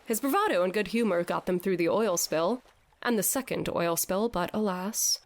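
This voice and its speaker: A woman's voice: mid-range voice, expressive, moderate pace, natural voice. An actress playing a dazed, theatrical woman who declaims in a grandiose mock-Shakespearean way in an audio drama. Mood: mock-tragic. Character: dazed theatrical woman raving as Hamlet to a fish head. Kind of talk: scripted audio drama acting.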